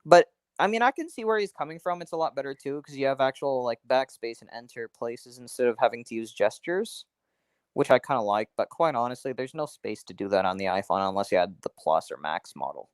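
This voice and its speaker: A man in the middle of the usual pitch range, talking casually on a livestream. He is chatty and opinionated and rambles through his points with restarts. The sound is dry, with no room echo. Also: lively intonation, brisk, clear, resonant tone. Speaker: livestream host. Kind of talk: casual chat.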